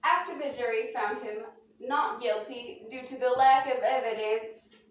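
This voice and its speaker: A woman's voice: on the high side, a little slow. A woman news anchor reading a scripted mock bulletin and interviewing, in a very echoey room with the mic at a distance.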